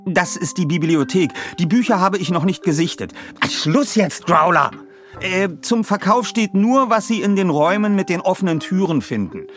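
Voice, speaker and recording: A man's voice: high voice, lively intonation, normal speed, a bit bright, soft background music, pitched above the performer's own voice. A man voicing a stressed, irritated woman with a flustered manner for an audio story. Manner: flustered, hesitant interjections, sighing. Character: Julia Scott, stressed, irritated adult woman running a house sale.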